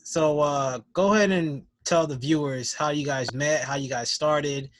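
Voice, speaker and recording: A man's voice: on the high side, a little slow, no echo. A man hosting a podcast, asking questions in an easygoing, loose way. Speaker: podcast host. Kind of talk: casual interview chat.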